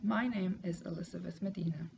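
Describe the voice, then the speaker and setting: Woman in her 30s, reads her class paper slow and careful, bit of fry, dull noisy home recording. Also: fairly low pitch. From Puerto Rico. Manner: careful, measured reading with pauses. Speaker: student. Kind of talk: reading a class assignment aloud.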